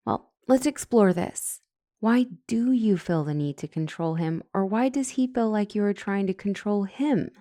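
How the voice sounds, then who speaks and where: A woman's voice: mid-range voice, animated, unhurried, warm tone, dry recording, studio quality, natural voice. A woman playing a flustered, smitten couples counselor who stumbles and corrects herself in a comedic audio roleplay. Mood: composed. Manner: stammering, awkwardly self-correcting. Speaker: doctor and counselor.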